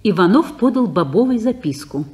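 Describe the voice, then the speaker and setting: A woman's voice: mid-range voice, animated, normal speed, warm tone. A woman reading the narration of an old radio play, matter-of-fact.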